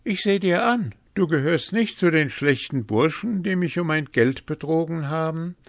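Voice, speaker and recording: Brash young country lad, played by a male storyteller: fearless, blustering, loud, pitched high with big swings. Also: animated, moderate pace, no echo, clean studio sound. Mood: indignant. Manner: shouting, blustering, rustic. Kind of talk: fairy-tale storybook character voice.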